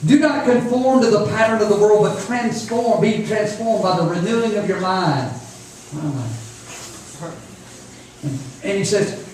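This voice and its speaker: A man's voice: high-pitched, a little mellow. A man, preaching informally to young people in a folksy, repetitive way. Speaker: preacher at a youth camp. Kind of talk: informal sermon. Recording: echoey room, distant mic, faint noise.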